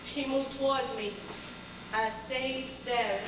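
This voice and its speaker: A woman playing a proud, defiant political detainee, telling her story as an intense, deliberate theatrical monologue. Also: high-pitched, fairly even intonation. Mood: tense, deliberate. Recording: very noisy echoey hall, far off.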